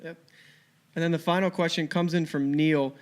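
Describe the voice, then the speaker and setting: A man with a slightly high, very clear voice works as a podcast co-host. He passes along listener questions in a conversational style, each word crisp. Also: fairly even intonation, normal speed.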